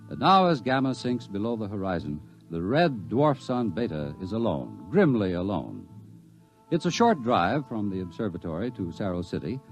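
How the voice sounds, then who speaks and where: A man narrating a radio drama in a dramatic, scripted storytelling style. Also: lowish voice. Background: faint music.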